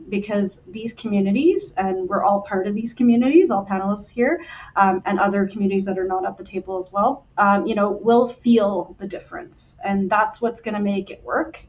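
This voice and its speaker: A woman panelist speaks with earnest passion, and her sentences build in urgency. The recording is muffled and lo-fi, and her pitch sits in the middle. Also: a little mellow. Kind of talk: panel discussion remarks.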